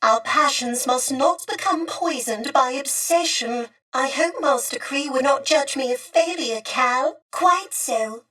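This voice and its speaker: Video game droid: high, courteous, old-fashioned and earnest, wistful streak, sounding set back from the mic. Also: female voice, measured pace, few pauses, fairly bright tone, distant mic. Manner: prim, formal, courteous diction. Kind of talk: video game character acting.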